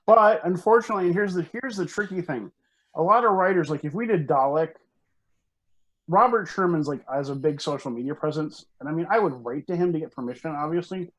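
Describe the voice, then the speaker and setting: A man's voice: on the high side, brisk, fairly bright tone, rough recording. A man talking casually on a group video call, hesitant and rambling, with bursts of emphasis. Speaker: panelist, organizer of fan script readings.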